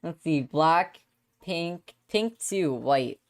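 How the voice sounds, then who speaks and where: Low-pitched, giggly woman streamer. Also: very slow. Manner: giggly, excitable, bursts into squeals. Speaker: streamer host. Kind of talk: casual livestream chat.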